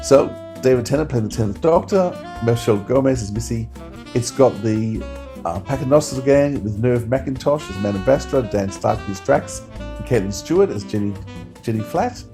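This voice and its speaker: A man co-hosts a podcast in a casual review style. His voice sits at an ordinary pitch, and music plays clearly underneath. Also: lively intonation, normal speed. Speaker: podcast host. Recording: music playing behind.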